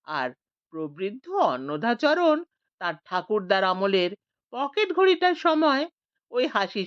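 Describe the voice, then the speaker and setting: A woman's voice: lowish voice, no echo, muffled recording. A woman narrating a scripted audiobook story, voicing the village characters expressively.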